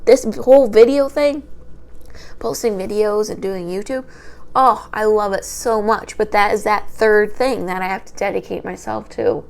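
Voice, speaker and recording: A woman's voice: mid-range voice, steady tone, normal speed. A young woman, a history student, talking through an unedited personal vlog into a small microphone. She has a small, light voice and rambles, tripping over her words. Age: young adult. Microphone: small handheld microphone.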